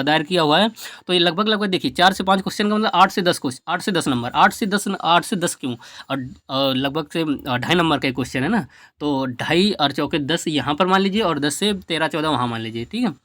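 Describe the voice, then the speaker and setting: A man's voice: fairly high pitch, fairly bright tone, dry recording, studio quality. A man giving an informal, emphatic explainer to students as an exam coach, often repeating his points for stress. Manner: repetitive, emphatic, advising.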